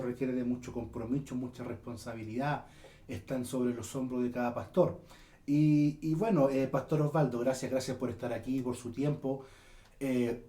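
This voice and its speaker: A man's voice: medium pitch, dark tone. A man hosting a church interview, speaking with many fillers and restarts. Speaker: interview host. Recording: echoey room.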